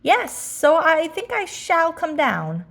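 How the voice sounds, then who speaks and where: A woman voicing an earnest, anxious little male toy bear in a children's storybook, speaking in a deliberate, declamatory way. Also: very high voice, wildly swooping pitch, creaky, fairly bright tone, voice pushed up, full character voice. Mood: rattled, decided.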